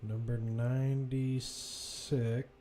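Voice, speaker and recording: A man's voice: medium pitch, level tone, drawn-out words, dark tone. Casual male football commentator over background noise. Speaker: play-by-play commentator.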